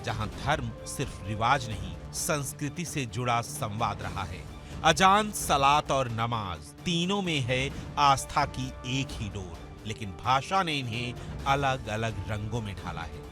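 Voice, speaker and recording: A man narrates a scripted news documentary at a slow, deliberate pace. His delivery is solemn and emphatic, with dramatic pauses, and music plays clearly behind his medium-pitched voice. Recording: music under the voice. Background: background music.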